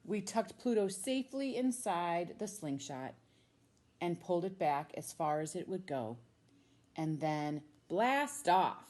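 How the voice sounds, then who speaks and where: A woman with an American accent, a teacher reading a storybook aloud to children with expressive, drawn-out emphasis on key words. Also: lowish voice. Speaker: middle school science teacher reading a children's book.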